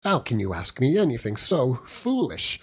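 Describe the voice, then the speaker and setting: Coy young sweetheart played by a male reader, raised pitch, ingenuous and petulant, classic storybook style. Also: wildly swooping pitch, moderate pace, husky, full character voice. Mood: coy, scolding. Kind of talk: audiobook character voice.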